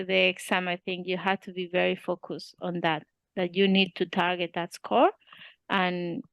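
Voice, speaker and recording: A woman's voice: mid-range voice, a bit bright, no echo. A young woman with a Spanish accent, talking hesitantly in a casual interview, with many fillers and restarts. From Spain. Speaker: student interviewee.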